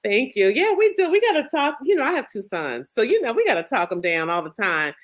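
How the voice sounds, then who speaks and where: A middle-aged woman who writes children's books, reading her story aloud with lively pitch swings and then chatting casually, leaning on "you know.". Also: fairly quick. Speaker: children's book author. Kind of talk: reading her picture book aloud, then interview chat. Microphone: video call.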